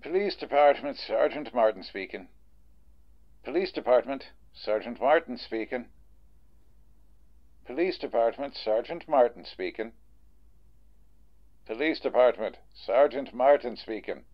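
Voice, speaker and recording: An actor playing a businesslike police desk sergeant with an official, clipped manner in a radio drama. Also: male voice, medium pitch, lively intonation, a little slow, pauses often. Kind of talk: old-time radio drama acting. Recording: muffled telephone-line sound.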